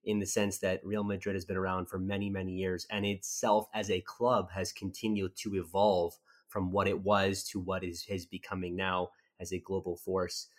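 A man's voice: low voice, flat delivery, normal speed, hardly any pauses. A man hosting a podcast, introducing a guest in a chatty, easygoing way. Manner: chatty, easygoing, with fillers and restarts. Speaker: podcast host.

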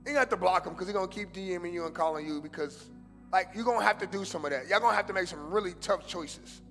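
High-voiced pastor preaching in a reverberant hall. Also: male voice, fast, soft background music. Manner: casual street slang mixed with preaching, swings from loud fervor to hushed prayer. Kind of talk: sermon. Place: church sanctuary.

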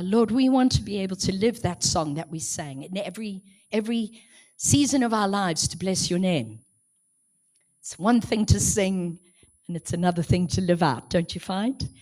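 Hoarse South African woman preaching. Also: lowish voice, lively intonation, moderate pace. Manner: chatty and self-joking, then softly prayerful. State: hoarse, strained voice. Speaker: guest preacher. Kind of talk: church talk with prayer. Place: church hall, in front of a congregation.

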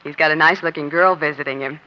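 Breezy, bright-voiced American gal reporter. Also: female voice, low voice, steady tone, quick pace. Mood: slyly chatty. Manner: breezy, chatty, slyly casual. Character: savvy woman newspaper reporter feigning breezy innocence. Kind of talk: 1940s American radio crime drama acting. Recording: dull, lo-fi old radio recording.

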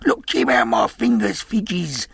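A man voicing a weak, drunken old sea captain who wheedles and blusters in sailor slang, with a gravelly, husky voice. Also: medium pitch, sing-song, slow pace, a bit bright, no echo, voice pushed up, exaggerated voice. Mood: pleading. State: weak, feeble voice.

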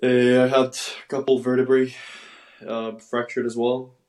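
A young man, a racing driver from Ecuador raised in Miami, talking casually in an interview with measured, halting phrasing. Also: mid-range voice, monotone, a little mellow, roomy sound. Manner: measured, halting with fillers and restarts.

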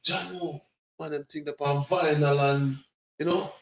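A Jamaican man in his sixties with a somewhat high voice, speaking from a little back from the mic. The room around him rings with echo, and he chats in a laid-back way, dropping patois as he recalls his early days. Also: measured pace, far from the mic. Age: 60s. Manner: relaxed, laughing, patois phrases. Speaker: guest reggae singer. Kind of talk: casual interview storytelling.

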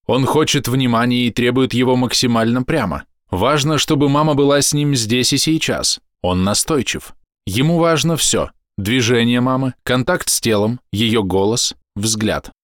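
A man reading a scripted explanatory voice-over in measured, deliberate short phrases, close to the microphone. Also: medium pitch, no echo. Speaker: narrator.

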